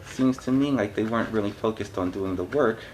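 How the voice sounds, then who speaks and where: A man's voice: lowish voice, a little fast, a little mellow, slight background noise, poor audio. A man reading a storybook aloud as host, adding conversational, explanatory asides in a casual style.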